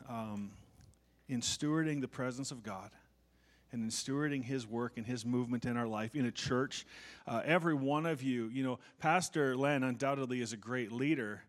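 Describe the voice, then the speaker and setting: Man preaching a casual sermon. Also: mid-range voice. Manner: conversational, self-deprecating, many restarts. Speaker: pastor. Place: church.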